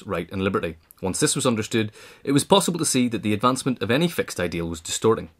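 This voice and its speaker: Brisk, lower-pitched man reading nonfiction. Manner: formal, articulate read-aloud delivery. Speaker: audiobook reader. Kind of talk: scripted narration of a political history essay.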